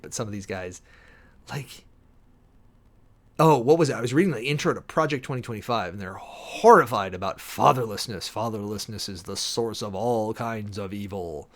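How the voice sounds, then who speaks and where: A man's voice: on the low side, fairly bright tone. Emphatic, opinionated man livestreaming casually. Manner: informal, opinionated, emphatic, repeating phrases for stress. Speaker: live streamer hosting a political commentary show. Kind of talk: casual livestream commentary on a read-out document.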